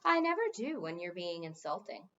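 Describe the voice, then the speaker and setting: A blunt, informal king from a storybook, voiced by a woman in her own light voice. He sounds wry and impatient, with lively swings of pitch. The recording is a bit dull. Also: normal speed, a little hiss or hum. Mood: cheerfully dismissive. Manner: plain-spoken, wry.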